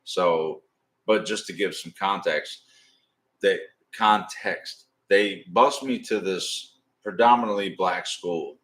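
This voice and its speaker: A middle-aged man with a deep voice, rambling and swearing casually as he hosts a live stream. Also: fairly bright tone. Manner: rambling, swearing, drawn-out storytelling. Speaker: livestream host.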